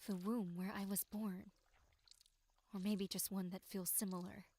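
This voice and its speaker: Woman acting a slow, despairing tormented victim. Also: mid-range voice, flat delivery, mellow. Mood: bitter despair. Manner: slow, breathy delivery slipping into whispers. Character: tormented, despairing young victim musing bleakly on existence. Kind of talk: dramatic first-person horror game monologue.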